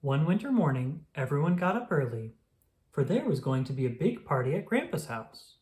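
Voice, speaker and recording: A storyteller reading a children's picture book aloud in a slow, gentle manner. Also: mid-range voice, big pitch swings.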